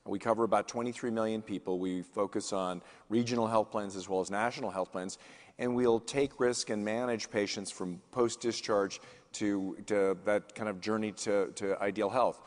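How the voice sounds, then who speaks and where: Male executive, panel guest, earnest, restarts a lot, lowish voice, big reverberant hall. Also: steady tone, moderate pace. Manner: earnest, restarts phrases often. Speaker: CEO guest speaker. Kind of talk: conference panel interview. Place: conference stage in a large hall.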